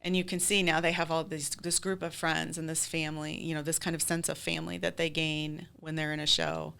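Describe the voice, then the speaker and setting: A woman's voice: low-pitched, level tone, a little fast, creaky, fairly bright tone, smooth. A middle-aged woman answering interview questions as a community theater leader, speaking in an earnest, heartfelt, conversational way.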